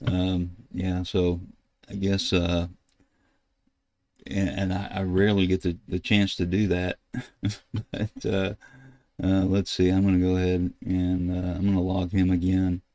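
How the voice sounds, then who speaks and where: An older man, giving a casual, halting screen tutorial as a ham radio video host. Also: low voice, monotone, warm tone, no echo. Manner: halting, rambling explanation. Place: indoors at a computer desk.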